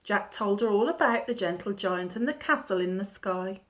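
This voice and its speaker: A woman teacher reading a children's storybook aloud in an expressive storytelling manner. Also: medium pitch, moderate pace.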